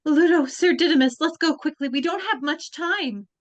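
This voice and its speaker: A woman's voice: high voice, moderate pace, no echo, natural voice. A woman in an online dramatic reading playing a determined teenage girl on a rescue quest, speaking earnestly and urgently. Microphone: video call.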